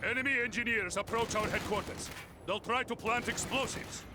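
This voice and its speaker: An actor playing a stern Soviet officer, giving a deliberate, commanding mission briefing in a rough voice for a war game. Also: male voice, high-pitched, heavy background noise, natural voice. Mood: urgent. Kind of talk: scripted military mission briefing.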